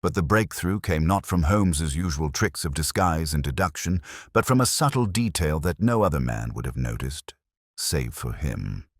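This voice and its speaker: A man's voice: extremely low pitch, animated, normal speed, no echo, studio quality. A man reading a scripted story aloud as an audiobook narrator.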